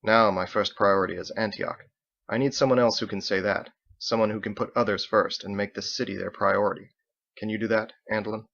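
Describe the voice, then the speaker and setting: A man voicing a courteous, resolute paladin leader with formal, measured phrasing, in an illustrated story reading. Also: fairly low pitch, steady tone, vocal fry, fairly bright tone, muffled recording.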